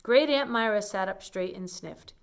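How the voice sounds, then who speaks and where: A woman reads a children's picture book aloud in an expressive storytelling style. She steps out of the text with simple asides that explain what is going on. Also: mid-range voice, moderate pace, clean tone.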